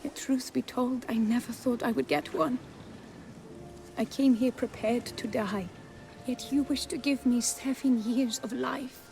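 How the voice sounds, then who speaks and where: High-voiced, melancholy cursed young noblewoman. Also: steady tone, a little mellow, faint music behind. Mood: wistful, accepting. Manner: formal, old-fashioned, measured phrasing. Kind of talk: video game cutscene acting.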